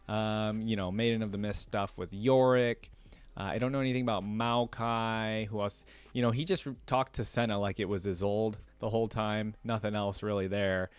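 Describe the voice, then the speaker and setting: A man's voice: lowish voice, fairly even intonation, normal speed, smooth, dry recording. A man giving casual, unscripted commentary to viewers as a reaction video host, rambling and emphatic in a conversational way.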